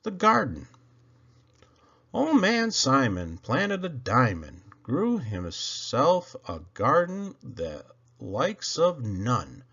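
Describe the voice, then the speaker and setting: Very animated man reading children's poetry. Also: mid-range voice, breathy edge, highs cut off. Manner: theatrical, playful emphasis and dramatic pauses. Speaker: storybook reader. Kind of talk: expressive children's poetry read-aloud.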